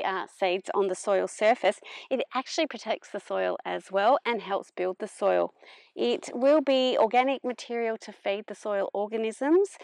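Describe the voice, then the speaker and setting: A middle-aged woman narrating a farm vlog in a plain-spoken, unhurried explaining manner. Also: mid-range voice, dry recording. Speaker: vlogger, permaculture farmer.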